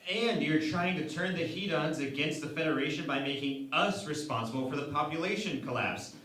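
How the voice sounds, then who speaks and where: A man's voice: fairly high pitch, brisk, fairly bright tone, natural voice. A man playing an alert, worried starship officer in a parody sci-fi radio play. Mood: urgent, worried. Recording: echoey, distant, music behind.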